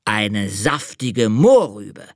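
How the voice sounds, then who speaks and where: A narrator voicing a kindly but easily offended old male hare in a children's storybook, slow and musing. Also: mid-range voice, big pitch swings, no room sound, studio quality, voice pushed up. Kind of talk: storybook reading.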